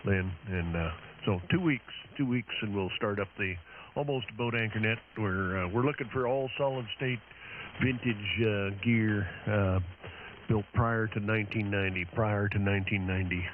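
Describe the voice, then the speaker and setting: A man with a fairly low voice talks casually over ham radio. The sound is thin and band-limited, with a little static. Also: normal speed. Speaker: amateur radio operator. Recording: narrowband shortwave radio with faint static.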